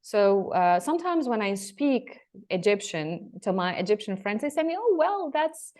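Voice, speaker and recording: A woman's voice: mid-range voice, very animated, normal speed, darkish tone. A woman from Tunisia, hosting a podcast interview in a casual, hesitant way with many fillers. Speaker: podcast host.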